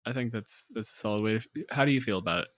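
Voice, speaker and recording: A man with a fairly deep voice talks casually with collaborators. He is blunt and swears easily, and the sound is close and dry. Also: fast talker, dry recording. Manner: blunt, profane. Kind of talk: casual planning chat.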